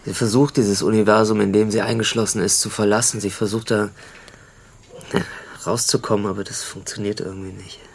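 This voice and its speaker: Ernster Mann mit knarrender Stimme. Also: male voice, lowish voice, flat delivery, brisk, vocal fry, slight background noise. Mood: troubled, reflective. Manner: explaining, hesitant, searching for words. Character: earnest, awkward NGO man, troubled father. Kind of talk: naturalistic radio drama acting.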